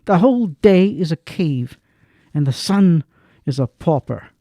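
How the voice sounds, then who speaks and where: A man narrating a radio drama in a dramatic, expressive way, swinging between hushed lines and stressed ones. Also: fairly high pitch, dry recording, studio quality. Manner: slow and expressive, swings between hush and emphasis.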